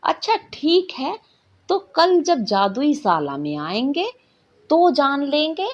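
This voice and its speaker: A woman's voice: sits high, big pitch swings, slow pace, lo-fi sound, voice pushed up, full character voice. A woman voicing an innocent but firm young girl who studies magic in a storybook tale. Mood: firm, annoyed.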